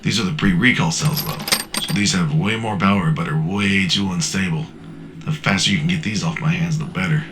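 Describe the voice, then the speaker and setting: A man playing a weathered older scavenger in a sci-fi audio drama, wry, profane and gravelly. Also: deep voice, brisk, noisy background, close to an everyday voice. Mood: matter-of-fact. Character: weathered older male wasteland scavenger on a respirator.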